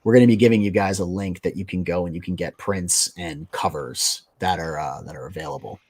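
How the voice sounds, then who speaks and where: A man's voice: deep voice, brisk, a little mellow. A man hosting a casual livestream, chatty and upbeat, reading out chat comments. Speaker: livestream host and comic creator.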